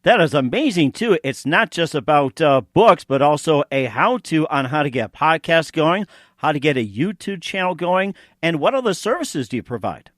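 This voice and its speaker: A man's voice: fairly high pitch, sing-song, moderate pace, fairly bright tone, no echo, clean studio sound. A man hosting a podcast in an effusive, enthusiastic way. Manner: gushing, effusive. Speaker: podcast host. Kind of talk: enthusiastic interview chat with read sponsor plugs.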